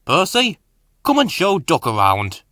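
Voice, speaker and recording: A man's voice: huge pitch swings, a little slow, sharp tone, no room sound, studio quality, full character voice. A man voicing a commanding storybook railway controller, authoritative and blustery but kindly. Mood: kindly, brisk. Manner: booming, authoritative.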